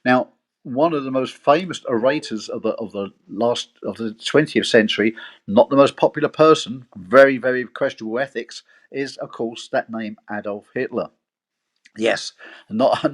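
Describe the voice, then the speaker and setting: A man in an online discussion, telling a history story with animated rhetorical questions. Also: medium pitch, normal speed. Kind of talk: casual storytelling.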